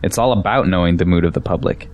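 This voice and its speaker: Guy acting a pragmatic young dude who argues back, low voice, kinda defensive, audio play. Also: fast talker, dull audio, close to an everyday voice. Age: young adult. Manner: argumentative, defensive. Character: defensive, pragmatic young man arguing compromise.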